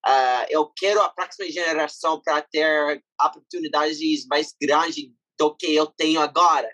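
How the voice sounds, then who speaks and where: Young man speaking learned Portuguese haltingly. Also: a little high, a bit bright, no room sound, rough recording. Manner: halting, searching for words in a learned language. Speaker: guest athlete. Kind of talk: casual interview chat.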